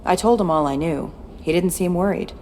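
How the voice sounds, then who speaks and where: A woman's voice: on the low side, a little fast, darkish tone, a little hiss or hum, lightly acted. A woman playing a tough, cynical former security chief in video game dialogue, blunt and guarded. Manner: blunt, matter-of-fact.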